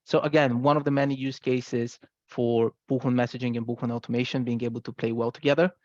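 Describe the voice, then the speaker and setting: A man's voice: medium pitch, flat delivery, quick pace, a little mellow, no room sound, dull audio. A man giving a product presentation in an explanatory, conversational way, checking in with listeners as he goes. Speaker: software presenter.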